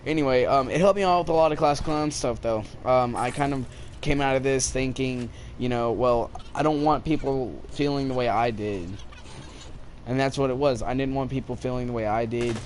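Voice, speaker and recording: A young man rambling casually and hesitantly through a personal story while he plays a video game. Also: medium pitch. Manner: hesitant, halting, trailing off. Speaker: gaming video creator. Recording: clearly noisy amateur recording. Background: noise and video game sounds.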